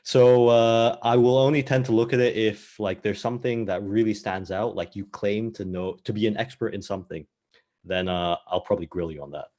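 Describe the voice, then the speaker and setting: A man who grew up in the Middle East, speaking on a panel from a hiring interviewer's side, relaxed and thoughtful with some hesitations. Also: fairly low pitch, fairly quick. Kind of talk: casual advice talk.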